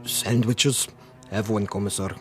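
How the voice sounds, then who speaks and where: A man's voice: on the low side, fairly even intonation, measured pace, faint music behind, natural voice. An actor in a radio drama playing a blunt, impatient henchman and marksman who speaks in terse, doubting phrases. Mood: stolid. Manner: terse, simple, doubting questions.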